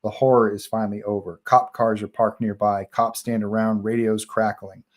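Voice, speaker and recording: A man's voice: lowish voice, flat delivery, normal speed. A man narrating the stage directions of a horror script at a live online table read. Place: online group call.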